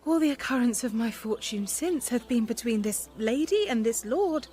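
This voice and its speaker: A woman's voice: fairly high pitch, animated, moderate pace, dark tone, slight background noise, lightly acted. An actress playing a devoted young woman disguised as a boy in a radio Shakespeare drama, speaking verse earnestly and carefully. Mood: hopeful. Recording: faint background ambience.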